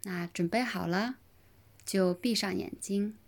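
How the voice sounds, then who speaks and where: A woman leading a guided relaxation meditation in a gentle, soothing manner, with pauses between short phrases. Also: mid-range voice, creaky. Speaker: yoga nidra meditation guide.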